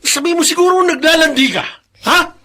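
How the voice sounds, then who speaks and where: A man's voice: extremely high pitch, fairly quick, sharp tone. A man playing a harsh, hot-tempered father in a radio drama, accusing and scornful. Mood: furious.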